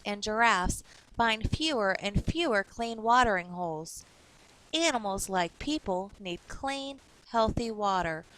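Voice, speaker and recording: A woman's voice: mid-range voice, a bit bright, low-quality recording. A woman reading the part of a kindly, earnest grandfather in his seventies from a storybook. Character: kindly seventy-year-old grandfather writing from Kenya.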